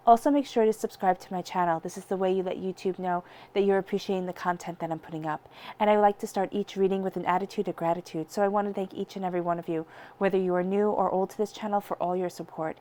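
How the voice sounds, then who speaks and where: A woman's voice: medium pitch, fairly even intonation, fast, a little mellow. A woman with a stuffy, congested voice from a cold, giving a chatty tarot reading straight to her viewers. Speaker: tarot reader. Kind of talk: direct-to-camera tarot reading.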